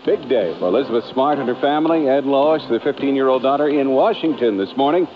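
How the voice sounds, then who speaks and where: A man's voice: medium pitch, animated, quick pace. A man with an American accent reading the morning news as a radio anchor. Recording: muffled radio aircheck with noise.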